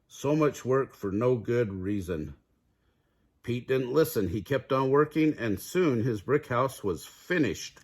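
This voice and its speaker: Animated man reading a children's storybook. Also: mid-range voice, slow. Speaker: storybook reader. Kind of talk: expressive children's read-aloud narration.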